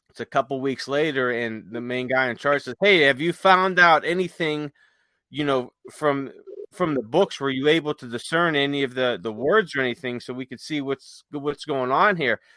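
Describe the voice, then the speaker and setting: A man podcast host talking casually, telling stories in a halting, conversational way. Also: medium pitch, sharp tone, no room sound. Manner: halting, conversational, retells stories with quoted dialogue. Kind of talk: casual chat, rambling storytelling.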